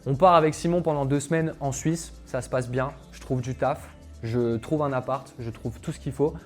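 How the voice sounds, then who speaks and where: A man's voice: mid-range voice, steady tone, normal speed. Chatty young man, faint music behind. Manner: chatty, filler-heavy, addresses viewers directly. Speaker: calisthenics coach. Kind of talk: casual personal story monologue to camera.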